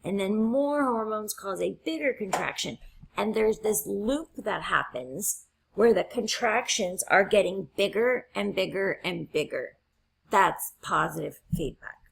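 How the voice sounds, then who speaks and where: A woman instructor walks her students through a lecture step by step, often checking in with "right?". Her voice is husky and gravelly, with the fry of someone losing her voice. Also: medium pitch, lively intonation, a little slow, low-quality recording. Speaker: college instructor. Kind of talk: classroom lecture.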